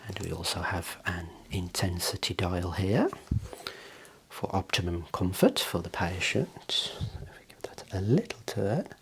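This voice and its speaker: A soft-spoken, whispery ASMR voice in a role play as an eye clinician, gently and deliberately explaining equipment. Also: low-pitched, lively intonation, a little hiss or hum. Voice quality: breathy, whispery.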